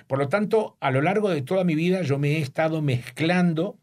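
An older man from Uruguay, an author speaking thoughtfully in an interview about his book and often pausing to search for words. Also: on the high side, darkish tone. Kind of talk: reflective interview talk.

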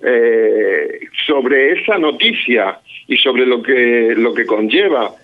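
A man from Spain comments on a radio show down a tinny phone line. He talks in a halting way, with many fillers and restarts, in a mid-pitched voice. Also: expressive. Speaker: guest on phone.

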